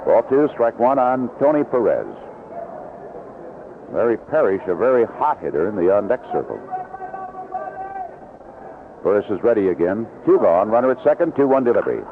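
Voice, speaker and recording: A man working as a play-by-play announcer, giving live 1970s baseball radio commentary, unhurried in description and more animated on the action. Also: mid-range voice. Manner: unhurried description between plays, rising excitedly on action. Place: outdoor ballpark broadcast booth. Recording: noisy, tinny vintage AM radio broadcast.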